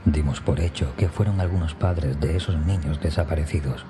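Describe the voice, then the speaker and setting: A man narrating a scripted first-person horror story in a hushed, breathy manner that often nears a whisper, with music behind him. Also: extremely low pitch, normal speed. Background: background music.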